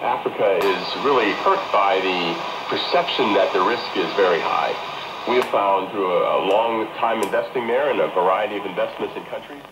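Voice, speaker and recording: Male interview guest, low pitch, echoey shortwave reception with clear noise. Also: normal speed. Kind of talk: broadcast interview. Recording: muffled, echoey, noisy shortwave radio reception.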